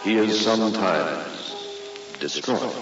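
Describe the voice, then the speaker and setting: A man's voice: lowish voice, slow. A man playing a grave, portentous narrator of the unknown in a vintage radio horror show. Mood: ominous, grave. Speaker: announcer. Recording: muffled old radio, echoey hall, loud music.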